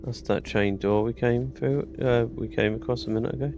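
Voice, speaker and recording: British guy doing a lets play, lowish gravelly voice, chatty, game music behind. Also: fairly even intonation, moderate pace, dull audio. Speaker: gaming channel host. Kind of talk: casual gameplay commentary.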